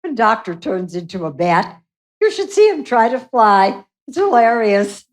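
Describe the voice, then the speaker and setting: A woman's voice: a little high, big pitch swings, unhurried. A woman playing a haughty, greedy female vampire in a live radio play, speaking in a smug, arch way. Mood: greedy, pushy.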